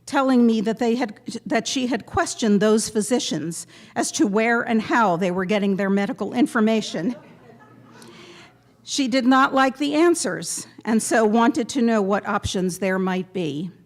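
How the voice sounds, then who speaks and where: A woman giving a prepared memorial tribute to a gathering, speaking in measured, deliberate phrases with pointed pauses. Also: medium pitch. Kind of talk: prepared eulogy read aloud to a gathering.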